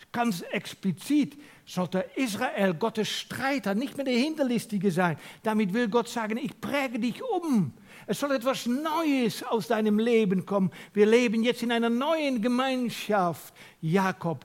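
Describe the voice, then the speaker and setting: Dutch-accented male preacher, high and lively voice, emphatic, questions the congregation. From Netherlands. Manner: swings from loud to near whisper. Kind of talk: sermon.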